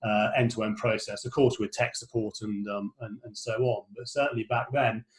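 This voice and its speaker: A man's voice: lowish voice, steady tone, moderate pace. Middle aged guy hosting a podcast from home, rambles, lots of ums. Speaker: podcast host. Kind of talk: casual podcast interview.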